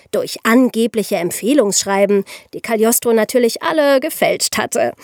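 A woman's voice: a little high, dry recording, clean studio sound. A woman co-hosting a podcast, telling history in a conversational, explanatory way with informal asides. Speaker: podcast co-host.

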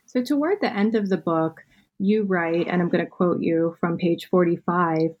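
Woman host with a breathy, slightly low voice, casual interviewer. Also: normal speed, muffled recording. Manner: conversational, hedging with "you know" and "kind of". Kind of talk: academic interview questions.

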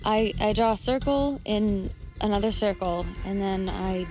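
A woman's voice: mid-range voice, a little slow, over music, close to an everyday voice. An actress playing a nervous, wisecracking young woman, hesitant and informal, in a naturalistic audio drama. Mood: unsure. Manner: hesitant, self-deprecating, informal.

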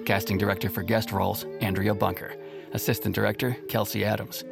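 A man reading the end credits of an audio drama in a steady, even list. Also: on the low side, fairly quick, vocal fry. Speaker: credits announcer. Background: music.

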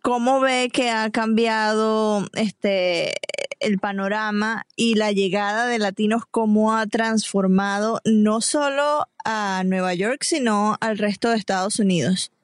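A woman interviewer asking long, measured questions with small fillers. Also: mid-range voice, fairly even intonation, clean tone, dry recording.

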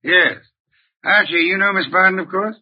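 An actor playing a pompous, deliberate, eccentric genius detective in an old radio drama, with formal, precise diction. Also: male voice, high-pitched, breathy edge. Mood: measured, expository. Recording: muffled vintage radio recording.

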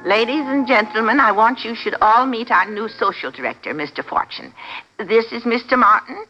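Casting: anxious middle-aged New mama running a boarding house, bright lively swoops, muffled old radio drama. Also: female voice, fairly high pitch, moderate pace, faint music behind. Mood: welcoming. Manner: emotional, folksy, fretful phrasing. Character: anxious, motherly boarding house proprietress with a grown son. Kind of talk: 1950s radio drama acting. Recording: muffled vintage radio broadcast.

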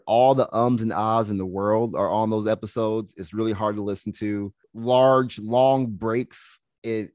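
A young man, a health coach, chatting casually in an interview, relaxed and laughing easily, with plenty of "you know"s. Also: on the low side, dry recording, clean studio sound. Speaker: health coach and podcast guest.

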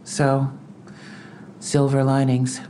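An actress playing a composed, wry police investigator in an audio drama, speaking in a dry, measured, matter-of-fact way. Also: female voice, rumbling low, completely flat delivery, dark tone, slight background noise, exaggerated voice. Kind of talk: full-cast audio drama acting.

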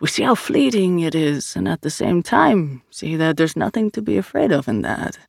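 An audiobook narrator voicing a serene male acolyte who speaks haltingly and philosophically, searching for words. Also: mid-range voice, very animated, creaky, dry recording, studio quality. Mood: serene, earnest. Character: serene, hesitant otherworldly religious acolyte, a male. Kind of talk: audiobook character dialogue.

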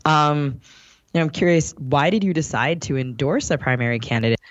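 A man's voice: on the high side, lively intonation, brisk, dry recording. A man co-hosting a podcast, interviewing conversationally and building up to his questions in a tentative, thoughtful way. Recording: dull lo-fi, dry sound.

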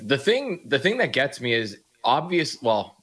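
A man's voice: medium pitch, sing-song, measured pace, fairly bright tone, breathy edge. A man co-hosting a sports radio show, talking casually and restarting his sentences often as he argues his points.

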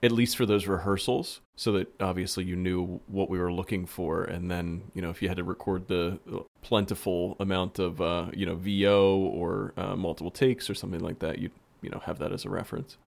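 A man's voice: low voice, little pitch movement, a little fast, creaky, hardly any pauses, darkish tone. A man casually explaining things as a producer in a podcast conversation. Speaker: producer, director.

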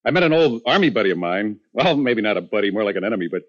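Proud but ashamed joker husband, low husky voice, halting, self-deprecating, old dull radio recording. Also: male voice, very animated. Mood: breezy, defensive. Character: feckless, joking husband, proud but ashamed, good-hearted. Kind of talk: 1950s radio drama acting.

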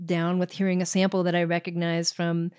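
A woman in a casual panel discussion, speaking thoughtfully and haltingly as a filmmaker guest. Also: fairly low pitch, completely flat delivery, a little mellow, smooth. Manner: thoughtful, halting, searching for words.

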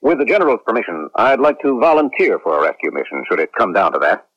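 Husky, fast, deep-voiced officer. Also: male voice, very animated. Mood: worried, earnest. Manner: deferential, quick. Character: earnest, worried male military subordinate. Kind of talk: 1970s science fiction radio drama acting. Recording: muffled 1970s radio recording.

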